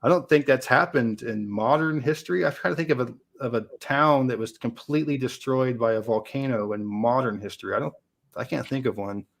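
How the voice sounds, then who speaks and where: An American man in relaxed, unscripted conversation as a geography creator. He has a mid-pitched voice and thinks out loud, letting some thoughts trail off. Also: brisk, creaky. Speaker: YouTube geography creator in a two-way interview. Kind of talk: casual unscripted chat.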